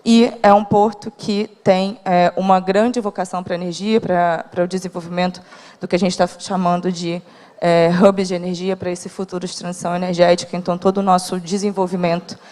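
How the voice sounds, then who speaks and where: A woman with a lowish, flat voice presents a port operation on a conference panel. She speaks formally but pauses with frequent fillers. Her voice rings out with a long hall reverb. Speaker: port company representative.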